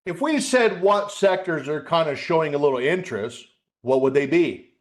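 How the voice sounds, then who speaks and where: A man's voice: fairly high pitch, animated, moderate pace. A man hosting a live trading webcast as a coach, talking through market charts in a conversational, folksy way, addressing viewers directly and often checking in with a short tag question. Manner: conversational, repeats "okay?" as a check.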